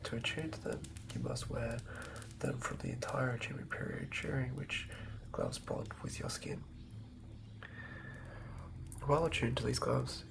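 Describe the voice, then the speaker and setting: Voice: mid-range voice, vocal fry, noisy background. An ASMR host whispers up close, murmuring and thinking aloud in fragments while planning out a tabletop game. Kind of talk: whispered ASMR, improvised villain-building ramble.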